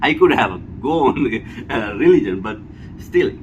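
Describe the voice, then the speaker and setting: A man's voice: mid-range voice, takes it slowly, highs cut off. A man with a Sri Lankan accent giving an unscripted, blunt opinion monologue, emphatic and repetitive, often checking for agreement. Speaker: vlogger giving personal opinion. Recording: clear steady background noise, air-conditioner hum.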